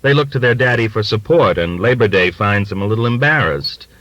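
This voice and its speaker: A man's voice: fairly low pitch, animated, fairly bright tone, no echo. A man voicing a plump, middle-aged hustler in an audiobook, jovial and teasing, with a folksy, cajoling way of talking. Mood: sheepish, joking. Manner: folksy, ingratiating, drawn-out cajoling.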